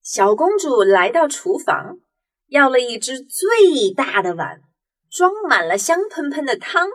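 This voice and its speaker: A woman narrating a children's story in an expressive storytelling manner. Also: high-pitched, measured pace, husky. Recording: music behind the voice.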